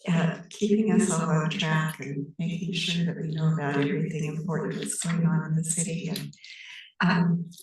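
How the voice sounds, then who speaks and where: A woman with a hoarse, failing voice, haltingly and appreciatively introducing an informal public meeting as its host. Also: deep voice, darkish tone. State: hoarse, losing her voice. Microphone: room microphone with ceiling pickup. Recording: echoey room PA, distant, faint noise.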